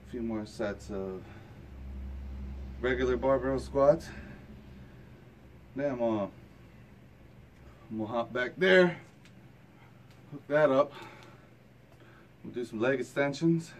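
A man in his thirties speaks slowly and casually, sounding tired from exercise. His talk is plain and colloquial, in the style of a home workout vlog, picked up by a camera mic with faint background noise. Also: mid-range voice. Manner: plain-spoken, colloquial, mild swearing. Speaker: fitness vlogger. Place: rooms at home and a home gym. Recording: noisy handheld camera audio with ambience.

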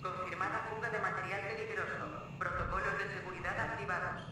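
An actor voicing a calm automated space station announcer in a game, measured, formal and impersonal, over a public-address system. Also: medium pitch, noisy background. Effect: echoing public-address system. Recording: echoey, distant PA under loud music.

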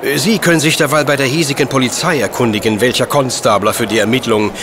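An actor playing a dry, pedantic, self-assured gentleman professor in a German audio drama, speaking formally and precisely. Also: mid-range voice, steady tone, fast, background noise, lightly acted. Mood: brisk, pleased.

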